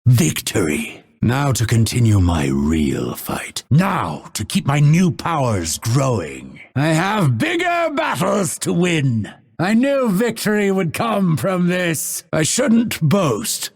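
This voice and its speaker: A man voicing a cold, proud assassin in a video game, sardonic and impatient, with a gravelly, husky, theatrical and clipped delivery. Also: on the low side, big pitch swings, takes it slowly, few pauses, darkish tone, full character voice. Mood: triumphant. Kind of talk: video game character voice lines.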